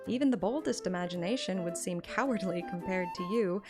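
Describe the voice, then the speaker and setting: A woman's voice: lowish voice, fairly quick, natural voice. A woman voicing a playful, curious young game heroine, reading both her dialogue and her thoughts, with music behind her. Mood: playful, teasing. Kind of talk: dramatic reading of game text.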